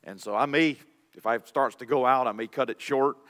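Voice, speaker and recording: A man preaching a sermon as pastor, his deep voice raspy and hoarse from illness, reading scripture with informal asides. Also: a little fast, a bit bright. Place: church sanctuary. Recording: long reverb of a church hall.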